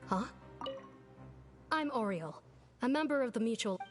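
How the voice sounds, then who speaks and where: A woman's voice: on the high side, lively intonation, moderate pace, natural voice. A woman voicing a friendly young network member. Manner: friendly, chatty. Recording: game audio with faint music.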